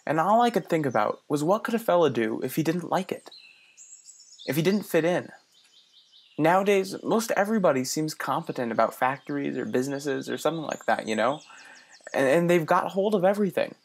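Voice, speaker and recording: A man's voice: on the high side, lively intonation, vocal fry. An actor playing an earnest young man from the city who muses aloud and stammers a little when he is unsure. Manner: earnest, halting with small stammers. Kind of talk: radio play acting.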